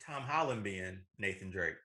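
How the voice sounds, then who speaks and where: A man's voice: deep voice, expressive, normal speed, sharp tone. A man co-hosting a movie talk show, chatting casually. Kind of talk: casual chat.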